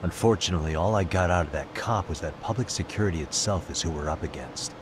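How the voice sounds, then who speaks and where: Man as cool-headed game detective: very low, measured, determined, matter-of-fact. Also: noisy background, lightly acted. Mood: firm, serious. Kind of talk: video game English dub acting.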